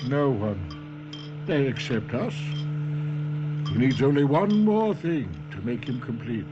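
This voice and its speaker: An actor playing a persuasive old professor and inventor, deliberate and grandiose, with a husky voice, in a 1970s radio mystery. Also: male voice, on the high side, lively intonation, dull audio, over loud music. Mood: coaxing, intense.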